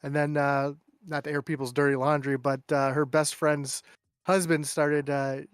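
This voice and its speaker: A man's voice: fairly high pitch, level tone, normal speed, smooth, dry recording, clean studio sound. A man chatting as a guest on a casual podcast, telling stories and mimicking people in raised voices.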